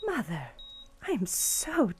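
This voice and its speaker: A woman's voice: medium pitch, wildly swooping pitch, takes it slowly, background noise. A woman playing a fawning, smugly catty favorite daughter in an audio suspense drama, gushing and melodramatic. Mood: awed, gushing.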